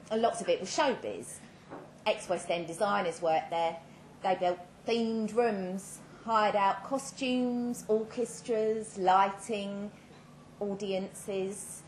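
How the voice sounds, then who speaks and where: A woman giving a measured, dramatic reading of a first-person short story at a live event, with pointed pauses. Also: on the low side, a little mellow. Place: indoor live literary event. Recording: roomy live venue, clear background noise.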